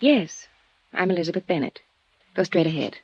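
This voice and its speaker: A woman playing a meek, put-upon secretary, quiet and deferential, in a 1950s radio drama. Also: fairly low pitch, animated, moderate pace, slight background noise. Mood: timid, polite. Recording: dull vintage radio recording.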